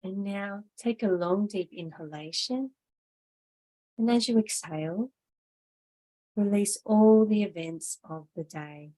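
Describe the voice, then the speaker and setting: A woman yoga teacher gently guiding a meditation class in a measured, instructional way. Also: medium pitch, low-quality recording.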